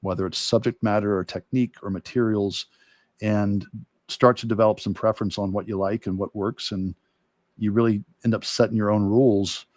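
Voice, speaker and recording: A man who teaches art, talking casually and thinking aloud in a halting way during a livestream demo. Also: lowish voice, fairly even intonation, darkish tone, no echo, clean studio sound. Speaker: art instructor and illustrator.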